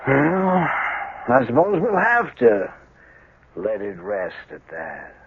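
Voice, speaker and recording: An actor playing an insistent, suspicious friend in an old radio drama. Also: male voice, mid-range voice, wildly swooping pitch, slow, a little hiss or hum. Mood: grudging. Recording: tinny, narrow old radio recording.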